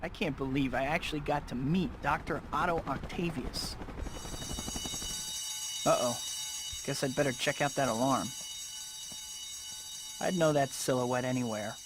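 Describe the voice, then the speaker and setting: An actor voicing a wisecracking, eager young student superhero in a video game, with an American accent. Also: male voice, mid-range voice, unhurried, pauses often, noisy background, natural voice. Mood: musing. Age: young adult.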